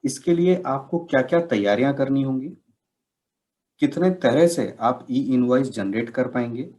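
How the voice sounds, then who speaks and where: A man giving a scripted instructional presentation as a session presenter, explaining things in measured, separate phrases. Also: medium pitch, animated, dark tone. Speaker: presenter of a software training session.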